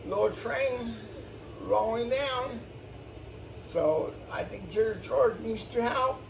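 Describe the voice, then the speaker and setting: A man's voice: very high-pitched, takes it slowly, creaky. A man hosting storytime, reading a children's picture book aloud with exclamations and explanatory asides for young listeners. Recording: distant mic, faint background noise.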